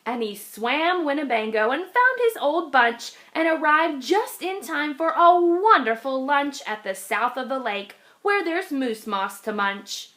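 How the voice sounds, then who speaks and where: A woman reading a children's storybook aloud in an expressive, dramatic way with deliberate phrasing. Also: high voice. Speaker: children's read-aloud reader.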